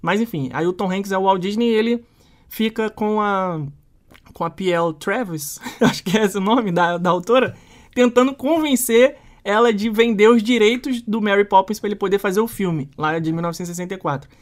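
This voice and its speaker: Woman, podcast co-host, lively slangy film talk, middle pitch. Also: fairly quick. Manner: animated, slangy, emphatic. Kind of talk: casual film review chat.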